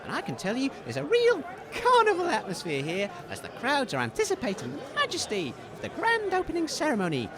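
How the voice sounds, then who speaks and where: A man playing a flustered, cheery wasteland radio host in a comedy broadcast, with an excitable, stammering manner. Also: extremely high pitch, a little fast, hardly any pauses, crowd noise, exaggerated voice. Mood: flustered, announcing.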